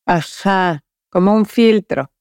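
A woman's voice: medium pitch, takes it slowly, a little mellow, dry recording, studio quality. A woman hosting a casual interview in an engaged, informal, affirming way. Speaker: podcast host, interviewer.

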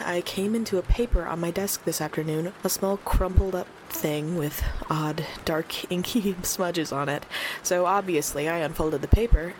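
A woman's voice: fairly low pitch, slight background noise, lightly acted, poor audio. A woman playing a concerned small-town late-night radio host in an eerie audio drama.

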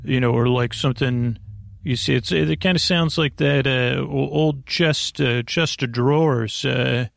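Male sleep podcast host, creaky and gravelly, mid-range pitch, close dry sound, halting and meandering delivery. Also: no echo. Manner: meandering, halting, self-deprecating. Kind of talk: rambling, off-topic bedtime monologue.

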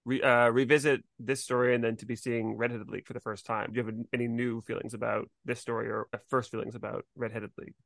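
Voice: mid-range voice, level tone, quick pace, gravelly, hardly any pauses, no echo, studio quality. A podcast host talking in a casual, conversational style. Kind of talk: casual chat.

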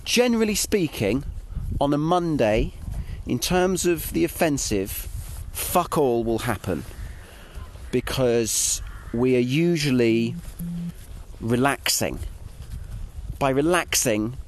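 A man's voice: mid-range voice, lively intonation, slow pace, slight background noise. A British man recording a casual audio diary, talking in a wry, self-deprecating and hesitant way. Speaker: podcast writer and host. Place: outdoors on a walk.

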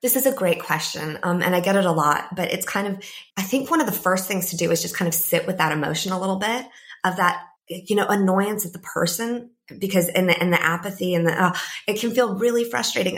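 A woman in her thirties, a climate psychologist and podcast guest, talking thoughtfully in a croaky voice with many soft fillers. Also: lowish voice, fast talker. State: croaky, a bit ill. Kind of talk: casual interview talk.

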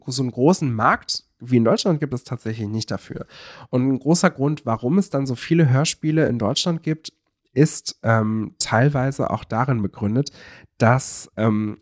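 A young man explains things casually on a podcast, haltingly searching for words and correcting himself. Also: medium pitch, a little mellow, no echo, studio quality. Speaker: podcast co-host.

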